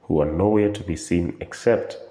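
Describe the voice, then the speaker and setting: A man narrates a scripted drama in a very low, mellow voice. The sound is roomy and echoey, with faint music behind him. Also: normal speed.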